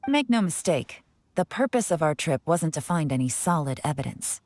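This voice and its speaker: Cool, low-voiced investigator. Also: female voice, sing-song, darkish tone, no echo. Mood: self-assured. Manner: composed, deliberate, sly. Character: cool, shrewd, confident woman investigator. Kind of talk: anime video game voice acting. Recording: background music behind the voice.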